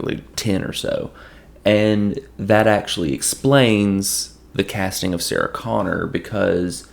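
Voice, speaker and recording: Gravelly hoarse guy rambling thru a movie review, lowish voice. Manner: rambling, with frequent restarts. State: hoarse from allergies. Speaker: podcast host.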